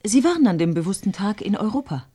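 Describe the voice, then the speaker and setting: A broadcast co-host in a radio play. Her husky voice is medium in pitch and very lively, with a hurried, interjecting delivery. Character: interrupting studio co-presenter named Maureen introducing a guest. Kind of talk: live broadcast hosting in a radio drama.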